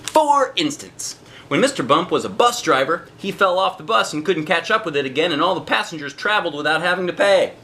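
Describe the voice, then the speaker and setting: Man, children's storybook read-aloud, highly animated pitch, bright voice, expressive stretched emphasis. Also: a little hiss or hum. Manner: expressive, drawn-out emphasis on key phrases. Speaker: storybook reader, a dad.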